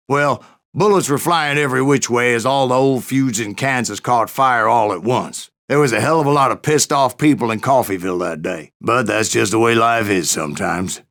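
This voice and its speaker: An actor playing a grizzled old gunslinger in a Western video game, spinning wry, folksy tales in a gravelly American Western accent. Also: male voice, medium pitch, fairly bright tone. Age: older. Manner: folksy, wry, salty-tongued raconteur.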